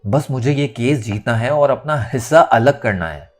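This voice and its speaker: A man's voice: medium pitch, expressive, normal speed, close to an everyday voice. A man voicing an angry, defiant young woman in a dramatic audiobook, with emphatic, indignant delivery. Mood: insistent. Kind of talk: dramatic audio novel reading. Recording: music under the voice.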